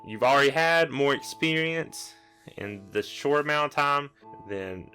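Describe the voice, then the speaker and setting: An easygoing monster trainer played by a man with a bright, forward voice. He rambles and hedges as he explains things in a tabletop fantasy game, with music underneath. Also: medium pitch, animated, measured pace. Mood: casual, instructive. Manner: offhand, rambling, hesitant explanations. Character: casual, rambling Scrafty monster acting as training facilitator.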